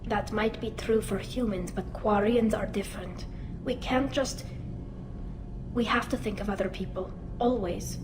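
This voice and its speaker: An actress playing an earnest, self-conscious young masked alien woman in a sci-fi game, speaking haltingly with a lightly synthetic sound. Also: mid-range voice, fairly even intonation, noisy background, natural voice. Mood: earnest, anxious. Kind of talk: cinematic sci-fi game acting. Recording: loud music behind, slight echo.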